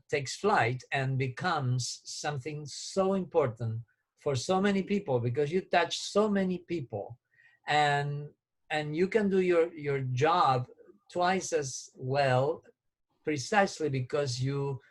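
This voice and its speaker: A man interviewing a former student, speaking in measured, thoughtful sentences. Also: fairly high pitch. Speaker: interviewer, faculty member. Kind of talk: casual interview.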